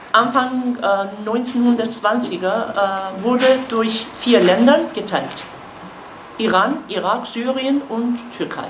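A woman in her thirties from Turkey with a Kurdish accent, lecturing at a university seminar in halting speech with many fillers. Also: fairly high pitch. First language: Kurmanji Kurdish. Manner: halting, many fillers, gestures while explaining. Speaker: guest lecturer. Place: university seminar room, indoors. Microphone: far camera. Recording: echoey classroom, faint noise.

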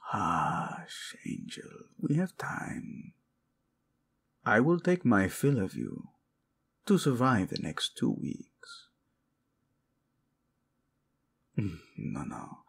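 A man playing a husky, gravelly, possessive prince. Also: lowish voice, heavily acted. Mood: seductive. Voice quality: husky, gravelly, breathy in places. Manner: slow, murmured, formal phrasing with Spanish endearments. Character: possessive, devoted prince, tender husband to his queen. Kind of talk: intimate romantic audio roleplay.

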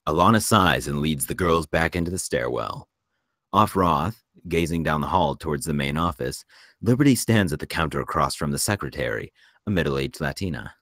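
A man reading screenplay stage directions as narrator, in a measured, descriptive way. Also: extremely low pitch, animated, fairly bright tone, no room sound, studio quality.

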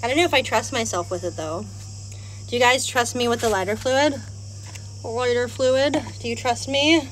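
A woman's voice: on the high side, moderate pace, a bit bright, a little hiss or hum, rough recording. A woman live-streaming casual chat while she cooks and climbs stairs, a little out of breath, asking viewers questions. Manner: chatty, self-deprecating, asks viewers questions. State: panting from heat and stairs. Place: house and outdoor stairs and terrace.

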